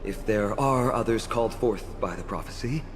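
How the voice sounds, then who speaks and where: A man's voice: lowish voice, moderate pace, noisy background, lightly acted. An actor playing an earnest young male hero of a fantasy game, speaking in a solemn, formal, heartfelt way. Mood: solemn narrating. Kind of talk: video game voice acting. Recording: long reverb, music behind.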